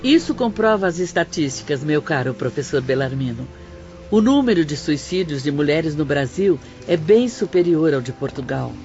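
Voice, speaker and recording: A woman's voice: sits low, animated, normal speed, darkish tone. A woman playing a wise, dignified motherly spirit in an audiobook drama, speaking with composed, formal courtesy. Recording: dull lo-fi audio, faint music.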